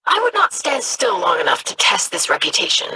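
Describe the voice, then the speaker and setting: A woman's voice: medium pitch, huge pitch swings, a little fast, background noise. An actress voicing an earnest young alien woman in a video game, speaking in formal, precise sentences.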